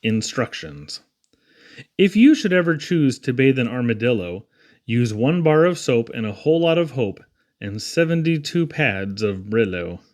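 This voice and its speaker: A man's voice: medium pitch, big pitch swings, unhurried, darkish tone. Man theatrically reading children's poems. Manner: theatrical, rhythmic. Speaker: poetry reader. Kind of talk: expressive children's poetry reading.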